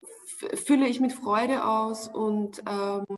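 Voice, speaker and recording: Austrian actress, 40s, reflective interview guest on a video call, measured and searching for words. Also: female voice, fairly high pitch, fairly even intonation, slight background noise. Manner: thoughtful, searching for words with many pauses. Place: home room indoors.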